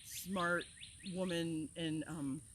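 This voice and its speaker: A woman from Florida, an herbal teacher, talking in an energetic, passionate way as she opens an outdoor class. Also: lowish voice, extremely slow, noisy background. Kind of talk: casual talk to open an outdoor class. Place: outdoors among plants, with birds and insects.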